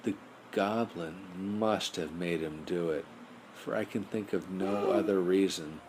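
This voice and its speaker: Male storybook narrator, lowish voice, measured bedtime read, amateur home recording with some noise. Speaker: audiobook narrator.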